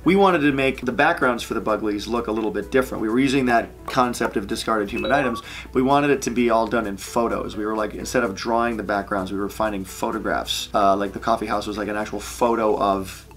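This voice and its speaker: A man's voice: lowish voice, fast talker, a bit bright, faint music behind. A man talking casually and enthusiastically, like an animation creator telling work stories on his vlog. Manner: enthusiastic, chatty. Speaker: animation creator and video host.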